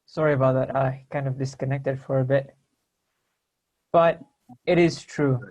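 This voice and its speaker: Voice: mid-range voice, fairly even intonation, measured pace, darkish tone, low-quality recording. A panel moderator asking brief questions and passing the floor to speakers.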